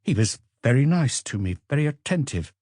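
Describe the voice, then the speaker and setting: An audiobook character, an anxious and guarded young woman, played by a male reader. The voice is raised and lilting, with a soft, hesitant way of answering. It sounds close and dry. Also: medium pitch, big pitch swings, fairly quick, no echo, pitched above the performer's own voice. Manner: hesitant, evasive, soft-spoken.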